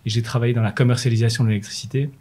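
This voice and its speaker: Measured middle-aged man explaining business. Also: mid-range voice, fairly even intonation, darkish tone, slightly rough. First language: French. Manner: measured, explanatory, frequent fillers and restarts. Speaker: renewables manager at an energy company. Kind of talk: corporate interview answers.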